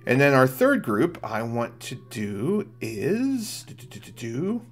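A man's voice: mid-range voice, huge pitch swings, slow pace, soft background music. A middle-aged man doing casual gameplay commentary, thinking aloud and chatting informally to viewers. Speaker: game streamer.

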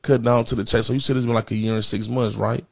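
A man's voice: mid-range voice, level tone, fast, gravelly, no echo. A man in his thirties on a prank phone call, talking in street slang with an insistent, repetitive, streetwise manner, close on a studio microphone. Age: 30s. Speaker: prank caller. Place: indoor streaming studio with backdrop. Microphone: close podcast microphone on a stand, headphones.

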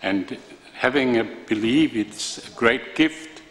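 Older actor with a Danish accent, hesitant answers, reverberant press room, faint noise. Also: male voice, medium pitch, fairly bright tone. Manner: halting, hesitant. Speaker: film actor at a press conference. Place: large press conference room. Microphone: table microphone.

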